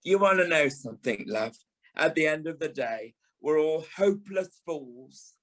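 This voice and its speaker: An actor playing a sentimental, camp middle-aged British performer in an audio play, with a theatrical, affectionate manner. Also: male voice, on the high side, animated, unhurried, fairly bright tone, rough recording. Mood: rueful, tender. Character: sentimental, camp older male performer, wry and fond.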